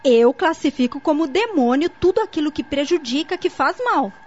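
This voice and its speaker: A woman's voice: high voice, animated, moderate pace, darkish tone. A woman playing an earnest young niece in a radio soap opera, explaining things in an articulate, didactic way. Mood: earnest, explanatory. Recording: muffled lo-fi audio with faint noise.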